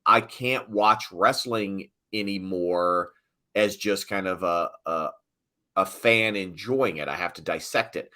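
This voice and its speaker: Bright, forceful middle-aged man, casual storyteller. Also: on the low side. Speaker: sports PA announcer, interview guest. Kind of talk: casual storytelling interview chat.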